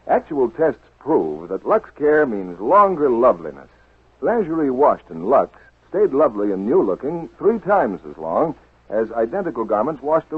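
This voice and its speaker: Animated American announcer, rough old radio sound. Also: male voice, medium pitch, no echo. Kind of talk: 1940s scripted radio advertising. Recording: muffled, dry 1940s radio broadcast.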